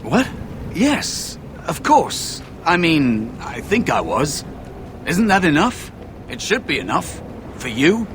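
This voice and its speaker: An actor playing a trapped, desperate young apprentice mage in a video game, pleading and wheedling with agitation. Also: male voice, sing-song, takes it slowly. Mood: defensive, unsure. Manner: pleading, agitated, swinging intensity. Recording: noisy game audio with ambient rumble.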